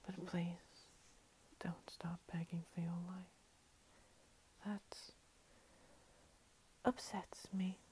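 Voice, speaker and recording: A woman voicing a playful, menacing vampire cat-girl princess in a soft, breathy ASMR whisper, teasing and condescending. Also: on the low side. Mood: teasing menace. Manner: slow, teasing, condescending, with lingering pauses. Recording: steady hiss.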